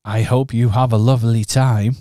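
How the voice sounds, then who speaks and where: A woman voicing a polite, gentle teddy bear hero in a radio pantomime. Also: rumbling low, steady tone, moderate pace, no echo, studio quality, pitched below the performer's own voice. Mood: kind. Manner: polite, mildly wry.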